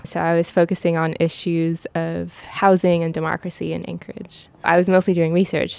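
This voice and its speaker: Young woman, reporter, soft-spoken, shy, level delivery, smooth clear voice, dry sound. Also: fairly low pitch, no room sound. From grew up in China and Washington, D.C. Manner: soft-spoken, self-described shy, hesitant with fillers. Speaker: newspaper reporter. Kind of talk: casual interview.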